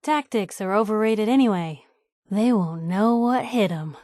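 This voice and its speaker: A woman's voice: mid-range voice, unhurried, darkish tone, no room sound, studio quality, natural voice. An actress playing a wry, plucky young woman reporter, an outspoken and loyal video game companion with a sardonic, conversational delivery. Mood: sardonic, dry.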